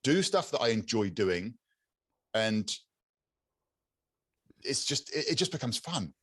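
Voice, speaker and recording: A British man with a low, raspy but clear voice, chatting as a podcast guest over a video call and often repeating words as he searches for them. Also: big pitch swings, slow pace, fairly bright tone, no room sound, studio quality. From England. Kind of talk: casual interview chat.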